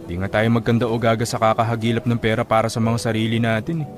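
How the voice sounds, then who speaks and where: An actor playing a broke, evasive younger brother with a Filipino accent, casual and full of excuses in a radio drama. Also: male voice, on the low side, flat delivery, quick pace, music in the background, lightly acted. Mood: dismissive. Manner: casual, shrugging, excuse-making. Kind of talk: Tagalog radio drama acting.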